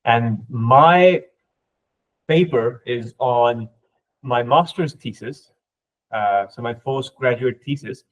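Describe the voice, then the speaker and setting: Male guest expert, native Urdu speaker, mid-pitched, didactic informal explainer, dull band-limited audio. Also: slow. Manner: didactic, often checks with "right?". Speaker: podcast guest, researcher.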